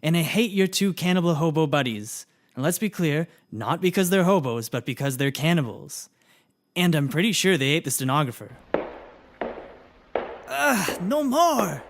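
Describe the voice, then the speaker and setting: Peevish, contemptuous comedy sourpuss, male actor, higher-than-average voice, emphatic and disgusted with everyone around him. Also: animated, normal speed. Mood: bitter, scornful. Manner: scornful, emphatic. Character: peevish, contemptuous man fed up with idiots. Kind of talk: scripted audio comedy acting.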